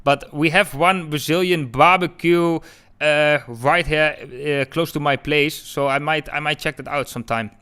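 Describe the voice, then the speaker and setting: Man, Dutch accent, crypto stream host, chatty, crisp bright voice, a bit high. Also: steady tone, unhurried. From Netherlands. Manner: chatty, keeps calling viewers "guys". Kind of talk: live-stream chart talk, answering chat.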